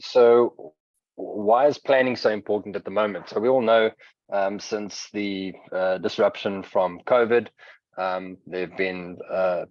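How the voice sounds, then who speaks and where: South African man, very low voice, dry dull lo-fi webinar audio, steady explanatory presenter, lots of ums. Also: unhurried, dry recording. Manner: steady explanatory delivery, hesitant fillers. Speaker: software presenter. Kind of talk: webinar presentation with software demo.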